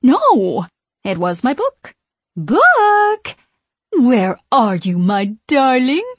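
A woman voicing a vain, scheming witch from centuries past, crooning and needling in a slow, theatrical manner for an audiobook. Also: high-pitched, wildly swooping pitch, husky, dry recording, voice pushed up, heavily acted. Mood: commanding, gloating. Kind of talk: audiobook character voice.

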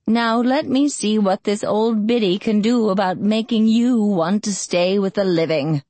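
A woman's voice: medium pitch, unhurried, mellow, no room sound, pitched above the performer's own voice, natural voice. A woman voicing a very old, brusque woman healer with a quavering voice, teasing and bossy, in an audiobook. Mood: cackling, teasing. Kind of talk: audiobook character voice.

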